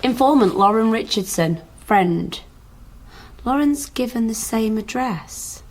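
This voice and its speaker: An actress playing a blunt, sardonic younger sister with a British accent in a naturalistic radio drama. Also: female voice, fairly high pitch, animated, slow pace, a little mellow, full character voice. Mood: dry, businesslike. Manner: dry, flippant, brusque.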